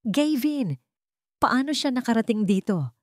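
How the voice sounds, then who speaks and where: A woman's voice: fairly high pitch, animated, unhurried, dry recording, studio quality, voice pushed up. A woman voicing a frightened but defiant young heroine in a dramatized audio story. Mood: shocked. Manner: urgent, emotional.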